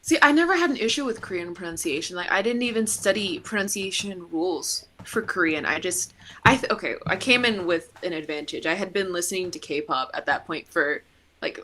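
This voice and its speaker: A woman's voice: lowish voice, expressive, fairly quick, bright, forceful tone. A young woman chatting casually as a podcast guest, talkative, with frequent laughs and "like" fillers. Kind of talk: casual chat.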